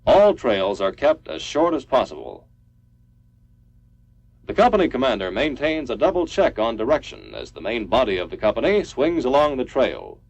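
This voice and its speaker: A man's voice: medium pitch, wildly swooping pitch, moderate pace, gravelly, muffled recording. An American man reading scripted military training film narration in a clipped, instructional manner. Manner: instructional, clipped declarative delivery.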